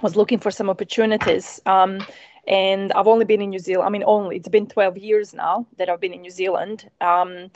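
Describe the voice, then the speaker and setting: A woman in her thirties from Croatia with a Croatian accent, a winemaker chatting in an interview, eager and halting with many ums. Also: mid-range voice, flat delivery, a little mellow, a little hiss or hum. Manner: hesitant, many ums, enthusiastic. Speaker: winemaker guest. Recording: dull, muffled sound.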